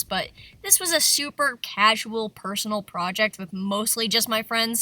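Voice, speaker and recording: A woman with a squeaky, youthful voice narrating her video in a casual, chatty, self-deprecating way. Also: pushed and bright. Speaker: video creator. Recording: faint music behind the voice.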